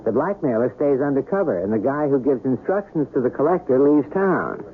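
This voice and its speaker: An actor playing a blunt, suspicious adviser in a vintage radio drama, speaking insistently. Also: male voice, medium pitch, expressive, brisk, close to an everyday voice. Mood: scornful, insistent. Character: blunt, suspicious man advising a blackmailed woman. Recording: narrow old radio recording, faint noise.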